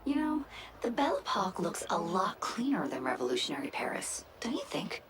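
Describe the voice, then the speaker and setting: An actress playing a brisk, wry woman who guides a video game agent with conversational instructions. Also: mid-range voice, very animated, noisy background, lightly acted. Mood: wry, guiding. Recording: loud music under the voice.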